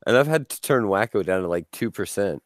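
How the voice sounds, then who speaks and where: A man's voice: on the low side, animated, brisk, no room sound, clean studio sound. A man in his forties hosting a casual podcast chat, rambling and restarting often, laughing easily. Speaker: podcast host.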